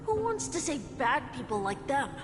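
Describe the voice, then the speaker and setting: An innocent little village boy speaks high and very expressively. He is an earnest young game hero, heard in long reverb over music. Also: big pitch swings, normal speed. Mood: earnest, reluctant. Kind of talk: video game voice acting.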